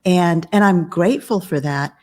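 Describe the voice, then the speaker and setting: A woman in her fifties, a business coach and author, speaking calmly and in a measured way as a podcast guest. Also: lowish voice. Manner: calm, measured. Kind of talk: podcast interview conversation.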